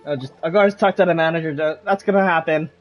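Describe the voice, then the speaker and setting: A woman's voice: sits low, quick pace, faint music behind. A woman streaming a game, casually reading dialogue choices aloud and stumbling over words as she goes.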